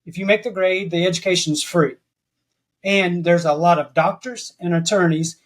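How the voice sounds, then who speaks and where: Rambling man with a higher voice. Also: fairly even intonation, normal speed. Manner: rambling, with many restarts. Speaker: podcast guest. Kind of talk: casual interview chat.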